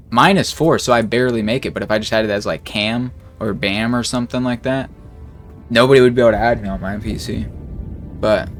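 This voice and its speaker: A man commenting casually on game patch notes, reading each note slowly and then reacting in a rambling way, with lots of filler words and swearing. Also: on the low side. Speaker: gaming streamer.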